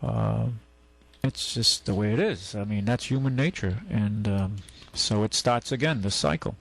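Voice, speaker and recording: Gravelly radio host, folksy and opinionated. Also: male voice, lowish voice, unhurried, fairly bright tone. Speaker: radio show host and used car dealer. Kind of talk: casual radio chat. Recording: AM radio broadcast.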